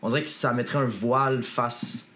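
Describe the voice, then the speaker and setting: A man's voice: mid-range voice, fairly even intonation, fairly bright tone. A Québécois man from a punk band, speaking earnestly in a casual interview, full of fillers. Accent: Québécois French. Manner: earnest, filler-heavy, quick bursts. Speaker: punk band member, guest.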